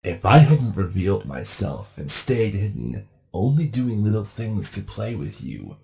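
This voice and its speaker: Taunting ghost for creepypasta drama, male actor: low, slow, swooping delivery, cryptic and sadistic. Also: very animated, gravelly, mellow, full character voice, rough recording. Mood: menacing, playful. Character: sadistic ghostly male entity taunting its victim through a computer. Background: music.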